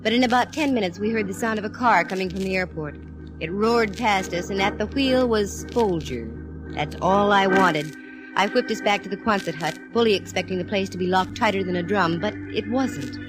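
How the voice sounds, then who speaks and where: An actress playing a wisecracking private detective who also narrates, in a brisk 1940s radio drama. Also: female voice, medium pitch, over music, lightly acted, low-quality recording.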